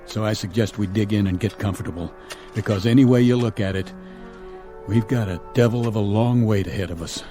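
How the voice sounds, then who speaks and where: A man playing a stern, level-headed colonel commanding a stranded space crew in a radio drama, with a measured, authoritative manner. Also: lowish voice, dark tone, soft background music, close to an everyday voice. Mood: resolute, matter-of-fact.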